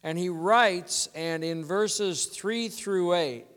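A congested male pastor from California preaching a sermon, explaining scripture in a measured teaching manner. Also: high voice, fairly bright tone, clear, resonant tone. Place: church sanctuary. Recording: long reverb in a church hall.

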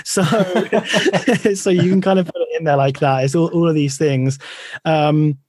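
A man's voice: fairly high pitch, steady tone, a little slow, a little mellow, slightly rough, no echo, studio quality. A man in a pop punk band, answering interview questions in a loose, earnest way full of fillers.

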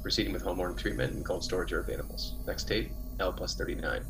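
Man playing low-voiced, conscientious colony biologist. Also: quick pace, over music, lightly acted. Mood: clinical, businesslike. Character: conscientious male colony biologist keeping field logs. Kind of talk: old-time science-fiction radio drama acting. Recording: noisy old radio drama recording.

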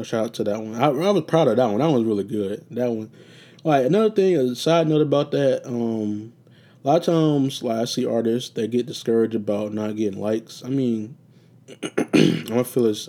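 Young male host, casual profane solo talk, tired and a bit raspy, dark mellow timbre. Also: medium pitch. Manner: loose, profane, meandering with many fillers. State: getting raspy and sleepy. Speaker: podcast host.

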